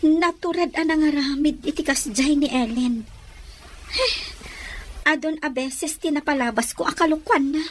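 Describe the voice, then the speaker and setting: An earnest, loyal young woman in love, as an actress plays her in a radio drama. Her voice is distinctly high, with sincere dramatic delivery. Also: normal speed, a little hiss or hum, close to an everyday voice. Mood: dismayed. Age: young adult. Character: sincere, loyal young sweetheart, upset but trusting.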